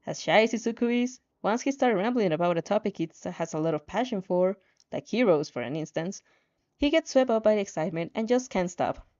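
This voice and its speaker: A woman's voice: medium pitch, lively intonation, brisk, a little mellow. A woman narrating fan fiction expressively, with casual reactions, on a slightly dull, lo-fi recording.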